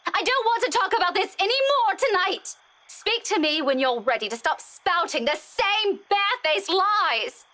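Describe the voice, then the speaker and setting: A woman voicing an indignant, self-righteous young woman in a visual novel, with a sharp, sarcastic, confrontational manner. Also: very high voice, expressive, moderate pace, full character voice. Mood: bitter, sarcastic.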